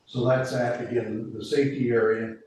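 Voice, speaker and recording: A man's voice: medium pitch, little pitch movement, normal speed, darkish tone. A man explaining radio procedures to a committee meeting, rambling and restarting as he goes. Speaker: emergency preparedness volunteer. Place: meeting room. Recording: echoey room from far off, faint hiss.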